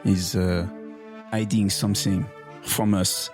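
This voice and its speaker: A man's voice: low-pitched, little pitch movement, slow, music in the background. A man playing a suspicious, hesitant Frenchman in a tabletop game, speaking cryptically. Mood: suspicious, confiding. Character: secretive, suspicious, hard-drinking Frenchman.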